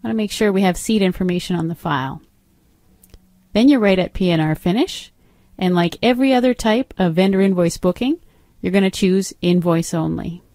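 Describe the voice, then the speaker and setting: A woman narrating an instructional software demo as a trainer, explaining step by step in an instructive way. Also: fairly low pitch, fairly quick, no echo.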